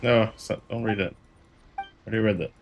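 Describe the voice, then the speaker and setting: A man who streams games, chatting casually in a halting mutter, repeating words as he thinks aloud. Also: lowish voice, fairly even intonation, a little hiss or hum. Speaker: game streamer. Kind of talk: casual gameplay chat. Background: video game music.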